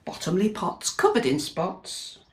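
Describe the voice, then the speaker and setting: In an echoey room, a British woman reads a children's story at an unhurried pace. Her pitch swings widely as she performs the lines with expression. She chats to her young listeners and asks them questions like a teacher at storytime. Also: huge pitch swings. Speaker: teacher reading a picture book to young children. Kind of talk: animated children's storytime read-aloud with chatty asides.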